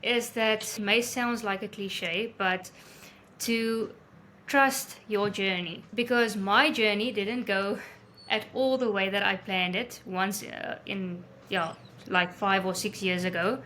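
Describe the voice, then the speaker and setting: A woman from South Africa whose first language is Afrikaans, a guest in an interview, friendly and quick to laugh, with hesitant fillers. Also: mid-range voice, fairly even intonation, bright, forceful tone, a little hiss or hum. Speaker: guest, photographer and travel blogger. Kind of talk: casual interview chat.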